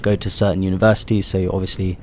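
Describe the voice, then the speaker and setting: A young man from the United Kingdom, a radio guest telling a story in an animated way and explaining patiently. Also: low voice, fast, slight background noise. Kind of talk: casual storytelling.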